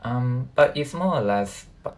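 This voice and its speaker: A man who natively speaks Cantonese, giving casual reaction commentary as a video host, haltingly thinking aloud. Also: mid-range voice, expressive, a little mellow, low-quality recording.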